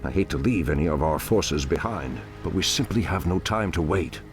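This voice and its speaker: A man playing a grave, wise ancient elven druid leader with a measured, formal delivery in a video game. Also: low-pitched, lively intonation, faint music behind. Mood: calm resolve. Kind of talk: dramatic video game cutscene acting.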